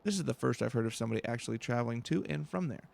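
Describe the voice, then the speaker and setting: A man's voice: medium pitch, lively intonation, racing, vocal fry, a little mellow. A man voicing a proud, nervous female scholar from a fantasy game, formal and prone to stammering. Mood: chatty, haughty.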